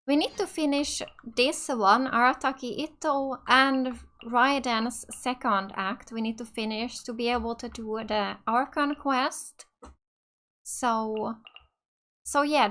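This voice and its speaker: High, bright-voiced woman gaming host. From Finland. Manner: chatty, enthusiastic about the game. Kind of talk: casual let's play commentary.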